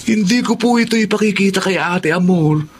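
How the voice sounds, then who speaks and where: A man's voice: sits high, a little fast, a little hiss or hum, natural voice. An actor playing an eager young student father in a radio drama, speaking as a respectful, boyish, earnest son. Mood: earnest, cheerful. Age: young adult. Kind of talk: Tagalog radio drama acting.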